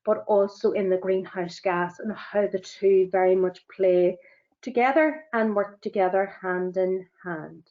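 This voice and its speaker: Fast-talking Northern Irish woman presenter. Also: medium pitch, a little mellow. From Northern Ireland. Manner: fast-talking, with frequent fillers. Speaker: sustainability assessor and webinar presenter. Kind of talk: presenting a business webinar. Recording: dull, lo-fi webinar audio.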